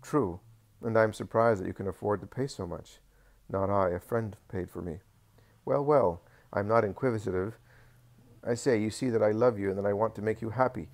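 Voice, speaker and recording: A man voicing a greedy, proud rich landowner with a squeaky, fox-like voice, pleading and boasting. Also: normal speed, natural voice. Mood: wheedling.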